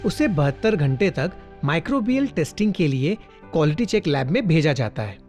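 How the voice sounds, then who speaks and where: Male narrator for a conversational factory explainer, studio voice-over, clear music bed behind. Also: a little high, very animated, moderate pace, darkish tone. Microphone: studio voice-over mic. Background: background music.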